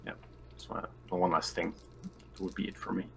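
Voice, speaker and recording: A man with a slightly low, creaky voice chats casually as a tabletop game player. His tone is bright and forward, with plenty of fry in it. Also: level tone, fairly quick, slight background noise. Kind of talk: casual chat.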